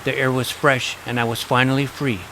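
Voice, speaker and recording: A man's voice: mid-range voice, level tone, brisk, slight background noise, poor audio. An actor narrating an audio drama in the first person as a plucky, self-assured boy detective recounting his adventures. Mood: relieved, wry. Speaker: narrator and lead character. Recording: loud dramatic music under narration.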